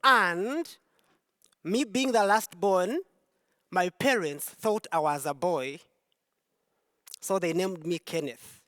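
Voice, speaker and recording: Slow, emphatic woman giving testimony. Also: lowish voice, fairly bright tone, no room sound, studio quality. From Uganda. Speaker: speaker at a Pride event. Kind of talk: personal testimony speech. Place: large concert hall stage.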